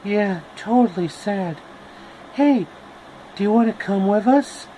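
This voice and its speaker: A man's voice: high voice, expressive, slow pace, mellow, slight background noise, voice pushed up, low-quality recording. A man voicing a plucky, frank young girl adventurer from a storybook. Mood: friendly.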